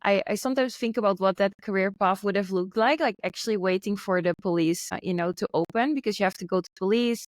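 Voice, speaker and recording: Young woman, friendly storyteller, mid-pitched, dry close sound. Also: fast, hardly any pauses, dry recording. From Netherlands. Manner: friendly, storytelling, light laughs. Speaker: podcast guest, entrepreneur. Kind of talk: casual interview conversation. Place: Bali, remote call.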